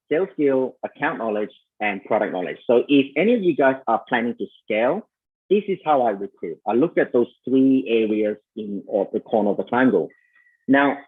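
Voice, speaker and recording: A man's voice: mid-range voice, breathy edge, narrow, tinny audio. A man with a Malaysian accent giving a conversational sales coaching talk at an online summit, full of fillers and talking straight to his listeners. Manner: conversational, many fillers, addresses listeners as "you guys".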